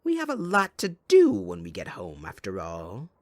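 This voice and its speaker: A man playing a gleeful, sadistic supervillain kidnapper in an audio roleplay, with a theatrical, mocking delivery that swings between soft and loud. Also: on the low side, wildly swooping pitch, normal speed, no room sound. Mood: playful threat. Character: sadistic, gleeful male supervillain kidnapper taunting his captive sidekick.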